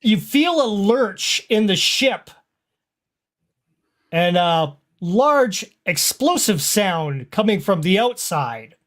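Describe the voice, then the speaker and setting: A game master narrating a tabletop roleplaying game in a halting, descriptive way. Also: medium pitch, very animated, fairly bright tone.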